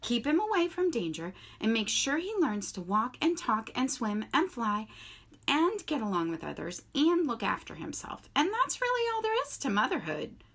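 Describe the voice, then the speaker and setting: Bossy old storybook goose, lifted and loud, a woman doing the voice. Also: fairly high pitch, wildly swooping pitch, normal speed, pitched above the performer's own voice, heavily acted. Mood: brisk. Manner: scolding, matter-of-fact. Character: bossy old gray female goose, blunt and brisk.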